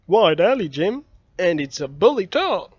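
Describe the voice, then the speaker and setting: Man playing a scheming young boy, coaxing, persuasive, lively high voice, muffled lo-fi audio. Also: measured pace, slightly rough, no echo, heavily acted. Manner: eager, persuasive, bargaining. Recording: dull, dry lo-fi recording.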